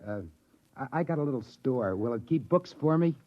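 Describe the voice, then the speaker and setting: A man's voice: mid-range voice, animated, normal speed, lightly acted. An actor playing a meek, polite shopkeeper father in a 1950s radio drama, mild, hesitant and apologetic. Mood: hesitant, curious. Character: meek, polite small-store owner, father of a brat. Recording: dull vintage radio recording.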